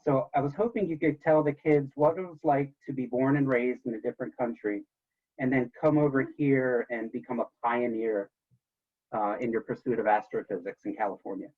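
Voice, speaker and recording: Husky man hosting, tinny phone-like sound. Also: mid-range voice, fairly even intonation, a little fast. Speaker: teacher hosting an interview. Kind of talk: enthusiastic show-style introduction and questions. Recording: tinny, phone-like call audio.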